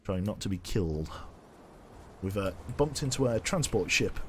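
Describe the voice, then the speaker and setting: A man with a voice going hoarse, chatting casually while streaming a submarine game and giving orders to his crew. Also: fairly low pitch, animated, moderate pace, faint music behind. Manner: chatty, greets viewers, gives crew orders. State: losing his voice. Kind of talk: casual live gameplay commentary.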